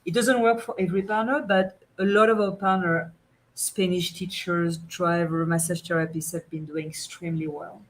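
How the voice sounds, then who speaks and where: A woman's voice: lowish voice, fairly even intonation, moderate pace, a little hiss or hum, rough recording. A woman with a French accent speaking as a radio guest, in earnest, simple phrasing. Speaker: guest, business founder. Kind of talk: casual interview chat.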